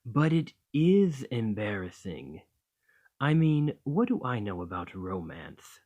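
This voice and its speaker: A man voicing a gentle, bashful dragon in a romantic ASMR roleplay, tender and hesitant, doting on a partner. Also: lowish voice, sing-song, slow, dull audio, exaggerated voice. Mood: flustered, embarrassed. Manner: flustered, hesitant, tender, with sighs and stammers.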